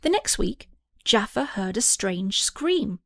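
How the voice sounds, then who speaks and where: A woman's voice: medium pitch, big pitch swings, slow pace, a bit bright, no room sound. A woman narrating a scripted children's story as an audiobook reader.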